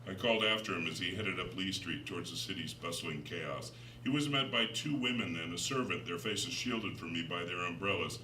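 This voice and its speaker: Deep-voiced man reading dramatically, roomy recording. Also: a little fast, hardly any pauses, sharp tone. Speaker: author reading his own novel. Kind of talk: dramatic literary narration. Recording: roomy, distant, noisy recording.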